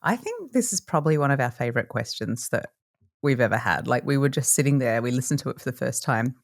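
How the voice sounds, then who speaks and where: Low-voiced laughing woman. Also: animated, fast talker. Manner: bubbly, laughing, joking. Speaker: podcast co-host. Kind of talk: casual podcast chat.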